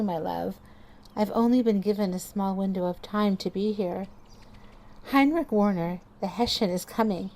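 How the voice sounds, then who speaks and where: A woman's voice: medium pitch, mellow, lightly acted. A woman playing the ghost of a loving dead wife in a horror audio drama, tender, solemn and eerily persuasive.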